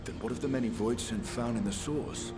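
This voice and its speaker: An actor voices a blunt male warrior in a fantasy video game. He sounds skeptical and probing, with formal wording and a mid-range pitch. Music plays clearly behind him. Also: a little fast, lightly acted. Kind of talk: scripted video game dialogue.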